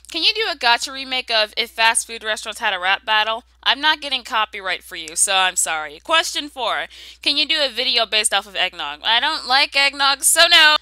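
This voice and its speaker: A woman's voice: fairly high pitch, pushed and bright, no room sound. A woman answering viewer questions in a casual, sarcastic, slangy way, often exclaiming. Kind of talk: casual sassy Q and A commentary.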